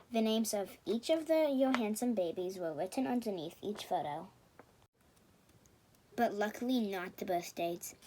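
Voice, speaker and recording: A woman's voice: mid-range voice, a little mellow. A woman reading scripted story narration for a puppet show, in a slightly noisy home recording.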